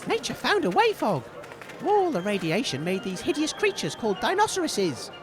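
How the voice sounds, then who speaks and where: A British man playing a cheerful, naive radio host, earnest and excitable, giving mock event commentary in an audio comedy. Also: very high-pitched, normal speed, music in the background, full character voice. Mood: bright, chirpy. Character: cheerful naive male radio host, gently chiding his co-host.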